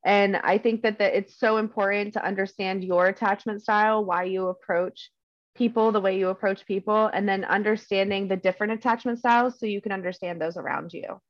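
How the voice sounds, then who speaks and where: A woman's voice: medium pitch, fairly even intonation, fairly quick, no room sound, highs cut off. A young woman chatting earnestly and eagerly, often saying "um" and "like". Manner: earnest and enthusiastic, with many "um"s and "like"s. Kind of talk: casual podcast chat.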